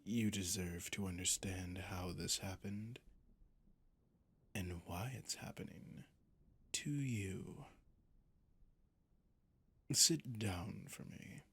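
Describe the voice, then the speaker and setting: A man acts out a seductive, sadistic vampire for an ASMR roleplay. The low voice is thick with gravelly creak and takes its time, savouring each phrase. It is smug and condescending, often sinking into a whisper. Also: a bit bright, heavily acted. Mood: smug, coaxing. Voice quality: breathy, husky, gravelly, often dropping to a whisper. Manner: slow, savouring, condescending intimacy. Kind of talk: scripted ASMR roleplay monologue.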